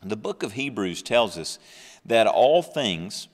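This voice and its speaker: Earnest man in his thirties, low voice, Bible teacher at a desk mic, some lingering reverb. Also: big pitch swings, slow. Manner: earnest, reads scripture with swelling emphasis. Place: small studio with a dark backdrop. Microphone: large desk microphone close up.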